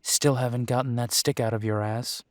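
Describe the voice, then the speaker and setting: A man's voice: mid-range voice, steady tone, moderate pace, no room sound, clean studio sound, close to an everyday voice. A man playing a big, blunt, defensive werewolf brother in an audio roleplay, exasperated and bickering. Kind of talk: roleplay audio drama acting.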